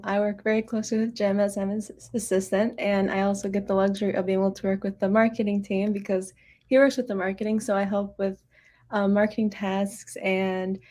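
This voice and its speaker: A woman who works as an assistant, introducing herself in a measured, slightly wry way on a video call. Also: mid-range voice, a little mellow. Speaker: executive assistant. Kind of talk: casual team introduction.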